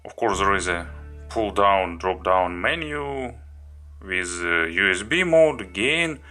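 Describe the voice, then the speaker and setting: Deep, slow-paced man reviewing a gadget. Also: lively intonation, pushed and bright, faint music behind. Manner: halting, listing items one by one. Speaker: product reviewer, host. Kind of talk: unscripted product review walkthrough.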